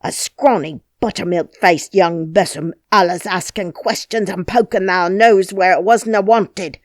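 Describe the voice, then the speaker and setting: A cross old gardener in an audiobook, as a woman reader puts him on, barking and scolding in thick dialect. His voice rings loud and hard, with dry close sound and no room around it. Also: fairly low pitch, very animated, normal speed, no echo. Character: angry old male Yorkshire gardener. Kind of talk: audiobook character voice.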